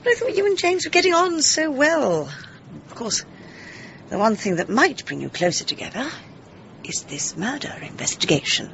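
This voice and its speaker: An actress playing a gentle vicar's wife, genteel and easily flustered, in a radio comedy-drama. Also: female voice, high voice, big pitch swings, normal speed, highs cut off, a little hiss or hum, exaggerated voice. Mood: gently knowing.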